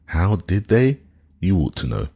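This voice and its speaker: A man's voice: low-pitched, big pitch swings, a little slow, mellow, slightly rough, full character voice. A man voicing a cool, self-assured retired draper in a storybook, hearty and patronising. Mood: cool, probing.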